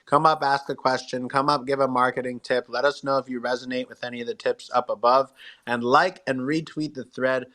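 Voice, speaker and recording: A man's voice: mid-range voice, level tone, brisk, pushed and bright. A man hosting a casual live online community talk in an encouraging, slangy, upbeat way. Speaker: host. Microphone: live online audio room.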